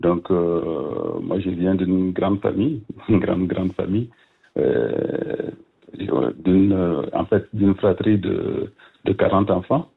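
A man in his fifties from Burkina Faso, a guest speaking by phone, hesitant with many fillers and soft laughs. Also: low-pitched, slow, gravelly, breathy edge. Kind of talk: phone interview. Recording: tinny phone line.

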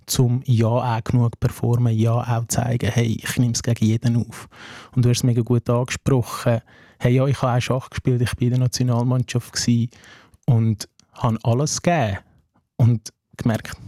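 Earnest Swiss German man, mellow voice. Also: mid-range voice, dry recording, studio quality. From Afghanistan. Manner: earnest, searching, repeats phrases with "hey". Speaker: guest, social worker. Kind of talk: reflective interview talk.

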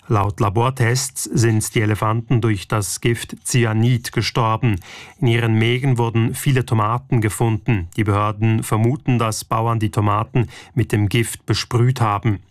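A man's voice: on the low side, no echo. Radio news presenter, male, scripted delivery, very dry studio acoustic.

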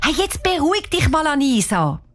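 Eine Frau spricht in einer Hörgeschichte ein impulsives, bestimmendes junges Mädchen mit Schweizer Einschlag, das drängend und schnippisch ausruft. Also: female voice, high-pitched, big pitch swings, normal speed, faint music behind, voice pushed up. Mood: brisk, firm. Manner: exclaiming, snappy, urgent. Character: Cheyenne, impulsive, bossy young girl.

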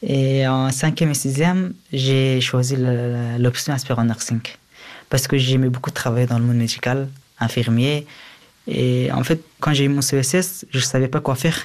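A young man, speaking a language he learned later, answers as an interviewee. He recounts his own experience in a medium-pitched voice. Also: steady tone, moderate pace, a little mellow. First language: a language other than French. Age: young adult. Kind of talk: personal testimony in an interview.

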